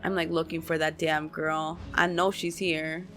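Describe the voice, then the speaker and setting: A woman's voice: low-pitched, level tone, measured pace, fairly bright tone, soft background music. A woman streaming a video game with casual, blunt, slangy commentary and plenty of swearing.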